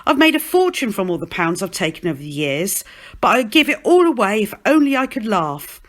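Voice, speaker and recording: A woman's voice: medium pitch, sing-song, brisk, natural voice. A woman reading a storybook, playing a glum, bitter male fairground hustler who sighs and needles. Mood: disappointed. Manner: sighing, downbeat, needling.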